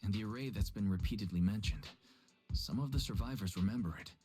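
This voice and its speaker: A man voicing a calm, analytical investigator in game dialogue, with a measured, serious delivery. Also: fairly low pitch, breathy edge, faint music behind. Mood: pensive. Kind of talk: voiced game story dialogue.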